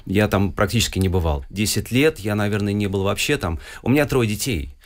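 A middle-aged man from Russia talks as a guest on a radio interview. His voice sits low and he speaks quickly and fluently, with the odd filler as he tells his story. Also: expressive. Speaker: guest musician.

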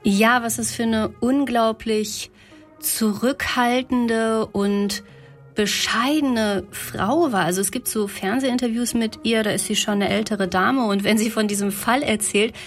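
A woman's voice: a little high, fairly even intonation, measured pace, faint music behind. A woman, speaking as a podcast guest who explains her research in a conversational way.

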